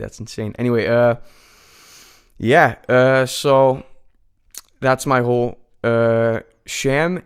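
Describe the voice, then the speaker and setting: Smooth-voiced nineteen-year-old guy. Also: medium pitch. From United States. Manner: chatty, many fillers and restarts. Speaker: guest. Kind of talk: casual interview chat. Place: radio studio.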